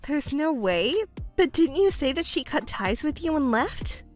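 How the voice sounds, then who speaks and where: A woman voicing a composed young stepdaughter who speaks in a calm, cold, measured way. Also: high voice, faint music behind. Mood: cool. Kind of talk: narrated drama dub.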